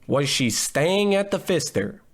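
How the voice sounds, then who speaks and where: An actor playing a wisecracking, cheap private detective with a deadpan, hard-boiled delivery in 1940s radio noir parody. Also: male voice, fairly high pitch, measured pace. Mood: wry. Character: wisecracking, down-on-his-luck male private detective.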